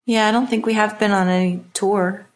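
A woman's voice: medium pitch, fairly even intonation, a little fast, slight background noise. A woman co-hosting a podcast, chiming in briefly and agreeably. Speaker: podcast co-host. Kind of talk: casual conversation.

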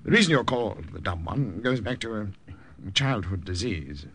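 A man's voice: on the low side, lively intonation, normal speed, a bit bright, husky, heavily acted. An actor playing an authoritative spymaster briefing his agents in precise terms, in 1950s radio drama style. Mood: brisk, authoritative. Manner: commanding, precise.

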